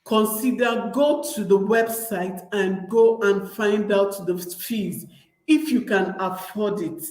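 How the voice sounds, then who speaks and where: A young Nigerian woman with a Nigerian accent speaks slowly and unhurriedly, at a middling pitch. Her talk is chatty and loose, full of repeats and restarts as she speaks right to her viewers. The sound carries a roomy echo. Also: darkish tone. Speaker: vlogger. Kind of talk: casual advice vlog.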